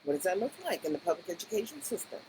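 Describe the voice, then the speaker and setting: Older woman in her sixties, low and animated, digressive advocate monologue with faint insect noise behind. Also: rapid-fire. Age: 60s. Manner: conversational, digressive, emphatic rhetorical questions. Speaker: education advocate. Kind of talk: rambling personal commentary. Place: Las Vegas area.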